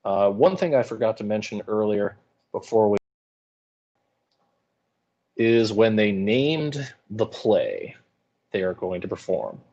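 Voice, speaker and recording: A man's voice: on the low side, big pitch swings, takes it slowly, pauses often, mellow, lo-fi sound. A man teaching English in an informal video lecture, explaining with wry asides and some hesitation. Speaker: high school English teacher.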